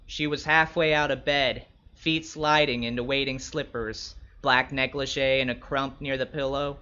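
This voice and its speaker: A man playing a wry, wary young escort who narrates his own story in measured, descriptive literary prose. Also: mid-range voice, flat delivery, bright, forceful tone, highs cut off, close to an everyday voice. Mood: wary, suspicious. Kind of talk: first-person literary narration.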